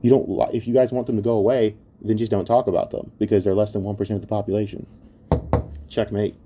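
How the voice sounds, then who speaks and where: A man's voice: lowish voice, fairly even intonation, quick pace, mellow. A man giving an opinionated commentary rant in a blunt, exasperated and scornful manner, swearing heavily. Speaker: online commentator.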